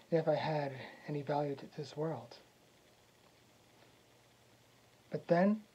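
A man's voice: fairly high pitch, mellow, breathy edge, heavily acted. A man acting a swaggering, vengeful wrestler prince, muffled sound. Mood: brooding, wounded. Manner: swaggering, taunting, dramatic pauses. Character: vengeful young prince as a cocky, raging wrestler. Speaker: actor. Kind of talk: theatrical pro-wrestling promo monologue.

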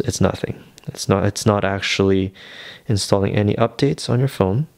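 A man with a fairly low voice presents a tech tutorial one step at a time. His delivery is casual and unhurried, and he explains things in a reassuring way. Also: a little mellow.

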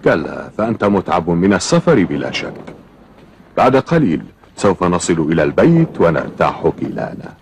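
A man's voice: deep voice, very animated, moderate pace, gravelly, slight background noise, exaggerated voice. A man voicing a kindly, protective older father figure in a cartoon, formal and caring. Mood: welcoming, fatherly. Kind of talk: Arabic cartoon dubbing.

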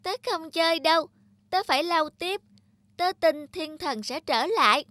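A woman's voice: extremely high pitch, unhurried, no echo, exaggerated voice. A woman voicing a young, chatty, earnest child angel trainee in a children's cartoon. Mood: determined. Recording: loud background music under the voice.

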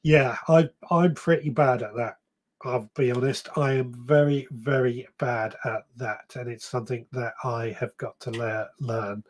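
A man's voice: mid-range voice, fairly even intonation, unhurried. A man in his thirties hosting a gardening podcast live stream, chatting casually and reading out viewer comments, often stopping to correct himself. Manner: rambling, self-correcting, reads comments aloud. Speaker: podcast host. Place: small shed or room, indoors.

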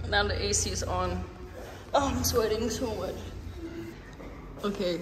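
A woman's voice: on the low side, darkish tone, slight background noise. A young woman in her twenties, a non-native English speaker, chatting casually to a handheld phone camera and laughing often. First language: not English. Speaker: vlogger and dancer. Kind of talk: casual vlog chat. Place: outdoors, gym and home.

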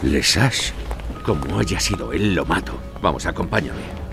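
A man's voice: deep voice, expressive, unhurried, creaky, over music, exaggerated voice. An actor playing a blunt, hot-tempered male police commander in an audio drama. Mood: angry, stern. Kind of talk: audio fiction acting.

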